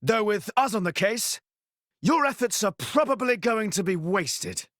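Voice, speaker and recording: A man's voice: sits high, lively intonation, a little slow, a bit bright, dry recording, clean studio sound. An actor voicing a boastful, brash young man with a show-off manner. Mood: cocky. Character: cocky young male prince, brash show-off.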